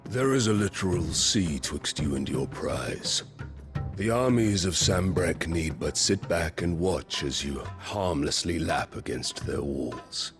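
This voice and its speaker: A man's voice: low voice, animated, unhurried, over music. A man playing a proud, scornful warrior lord in a game cutscene, speaking bluntly with grave command. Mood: scornful, grim. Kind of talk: dramatic video game cutscene acting.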